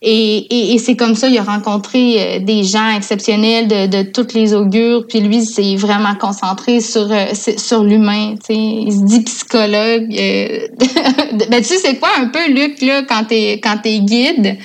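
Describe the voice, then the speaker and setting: A woman with a Quebec accent and a fairly high voice speaks as a guest in a relaxed interview. She tells her stories conversationally, with plenty of enthusiasm. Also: unhurried. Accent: Quebec French. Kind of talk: casual conversational storytelling.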